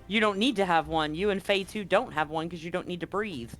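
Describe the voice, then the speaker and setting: A man's voice: sits high, brisk. A man running a tabletop sci-fi roleplaying game, explaining rules conversationally and narrating scenes with some drama. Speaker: game master. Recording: faint music under the voice. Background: soft background music.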